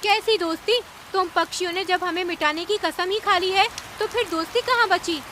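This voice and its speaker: A woman's voice: very high-pitched, moderate pace, bright, forceful tone, a little hiss or hum. A woman voicing emphatic, dramatic female animal characters in a Hindi cartoon, a stern ant queen among them. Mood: bitter, hurt.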